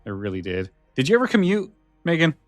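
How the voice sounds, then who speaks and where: A man's voice: fairly high pitch, huge pitch swings, fast, no room sound, faint music behind. A man chatting casually as a podcast host, rambling and a little hesitant. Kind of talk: casual chat.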